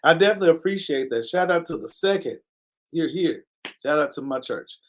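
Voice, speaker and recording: A man's voice: a little high, lively intonation. A man hosting a live online talk show, speaking earnestly in a preacher-like cadence, repeating key phrases and stopping to thank viewers by name. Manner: pauses to give viewers shout-outs. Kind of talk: casual live-stream commentary.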